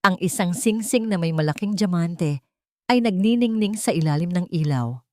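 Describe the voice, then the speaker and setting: A woman's voice: fairly low pitch, expressive, normal speed, no room sound, studio quality. A woman reading a scripted romantic drama story as a narrator.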